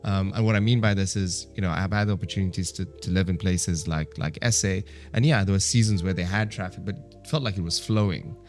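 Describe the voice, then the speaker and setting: A man's voice: deep voice, a little fast, few pauses, soft background music. A man with an East African accent talking casually and reflectively in conversation, as a guest on a talk show. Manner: reflective, talkative, peppers English with some Swahili.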